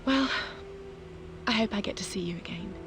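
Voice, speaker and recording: An actress playing a flirtatious, carefree, glamorous socialite in a video game cutscene, with a breezy way of talking. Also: female voice, medium pitch, moderate pace, natural voice. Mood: playful, wistful. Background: background music.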